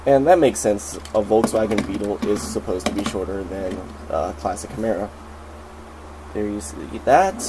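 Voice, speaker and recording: A man with a cold and a nasal voice, chatting excitedly through a casual toy review at home. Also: medium pitch, a little hiss or hum, rough recording. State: sick, nasal.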